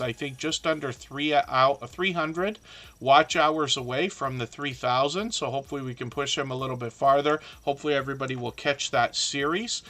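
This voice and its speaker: A man's voice: mid-range voice, bright, forceful tone. Guy on a livestream, chatty and encouraging, lots of ums, scratchy tired voice. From southern Ontario, Canada. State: scratchy, worn voice. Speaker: live stream host. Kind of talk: casual live stream chat.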